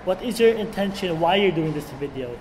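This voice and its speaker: A young Filipino man with a high voice and a blended international English accent. He talks casually, full of slang and repetition, like a guest on a relaxed podcast. The sound has a long hall reverb and a little background noise. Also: brisk. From Filipino raised in Saudi Arabia. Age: young adult. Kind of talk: casual chat.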